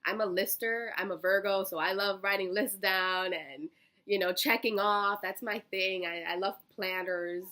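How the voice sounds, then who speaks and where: Young American woman, early twenties, bright forward voice, effusive and chatty, as an eager interview candidate. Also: mid-range voice, steady tone, normal speed. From Miami, Florida, United States. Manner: bubbly, effusive, giggly, chatty. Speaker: job interview candidate, college student. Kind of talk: casual phone interview answers.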